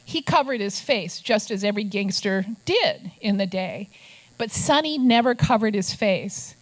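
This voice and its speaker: A woman's voice: medium pitch, animated, a little slow, low-quality recording. An older woman from Minnesota, an investigative journalist telling stories to a press club audience with wry asides. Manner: storytelling, wry asides, puts on gruff quoted voices.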